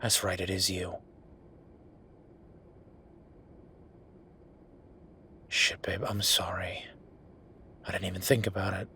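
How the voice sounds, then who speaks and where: A man's voice: sits low, level tone, normal speed, pushed and bright, a little hiss or hum. A man playing an angry, bitter werewolf boyfriend who vents with sweary sarcasm in a dramatic audio roleplay monologue. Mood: apologetic. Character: angry, exasperated male werewolf boyfriend, bitter office worker.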